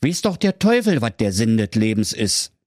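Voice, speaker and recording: A man's voice: fairly low pitch, very animated, normal speed, a little mellow, no echo, studio quality. A man voicing a weak, hungry villager who talks. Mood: weary. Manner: listless, droning. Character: weary, starving villager, slow-talking.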